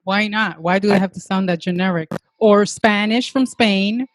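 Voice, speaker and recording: A woman's voice: medium pitch, normal speed, no echo. A woman hosting a podcast, chatty and agreeable, backing up her guest. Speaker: podcast host. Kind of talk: casual interview chat.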